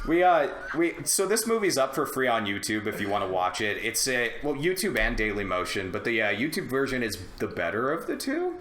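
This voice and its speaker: Animated, bright-voiced man on a podcast. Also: medium pitch, a little fast, few pauses. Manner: irreverent, profane. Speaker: podcast host. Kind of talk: casual comedic movie review chat. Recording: roomy echo.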